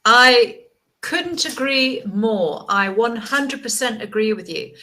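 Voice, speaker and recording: Woman, online English tutor, bright tone, encouraging, repeats herself. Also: a little high, animated, slow. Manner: encouraging, chatty, repeats words. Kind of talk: casual video-call chat.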